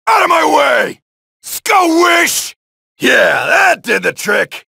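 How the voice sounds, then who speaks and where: An actor playing a brash, wisecracking male soldier who shouts taunts and one-liners while killing zombies in a video game, with a gravelly voice. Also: very high-pitched, dry recording, full character voice. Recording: dry studio voice lines.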